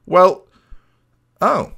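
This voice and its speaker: A man's voice: very slow, pushed and bright. A young man commentating on a football management game from a small room at home, with a headset mic, chatty and jokey. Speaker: gaming streamer.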